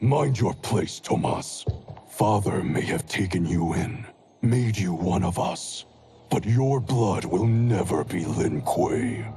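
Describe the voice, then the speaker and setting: A cold, arrogant grand master. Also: male voice, deep voice, expressive, slow, background noise, heavily acted. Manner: cold, contemptuous. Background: orchestral game music.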